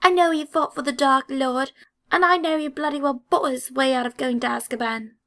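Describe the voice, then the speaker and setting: Hot-headed schoolboy played by a woman narrator, high and squeaky, sputtering and confrontational, for an audiobook. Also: steady tone, brisk. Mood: indignant, accusing. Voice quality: sharp, squeaky. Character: angry, blustering red-haired schoolboy, squeaky and indignant.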